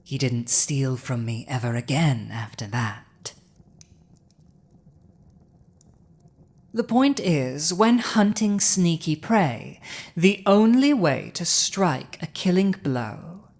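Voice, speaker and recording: Woman voicing a slow, low-voiced proud dragoness. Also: animated, darkish tone, heavily acted. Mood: menacing storytelling. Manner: languid, theatrical, haughty delivery with dramatic pauses. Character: proud villainous female dragon ruler, dominant, teasing. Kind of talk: scripted intimate roleplay audio drama.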